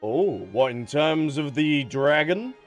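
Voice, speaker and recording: A man's voice: fairly high pitch, lively intonation, slow pace. A man doing casual live game commentary as a streamer, playful and chatty, reacting aloud. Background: game music.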